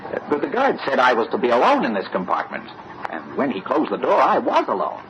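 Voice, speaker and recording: An actor playing an earnest, polite, easily flustered young fiancé in an old-time radio drama. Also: male voice, mid-range voice, huge pitch swings, brisk, fairly bright tone. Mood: startled, puzzled. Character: earnest polite young fiancé off to meet future father-in-law. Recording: muffled, noisy old radio recording.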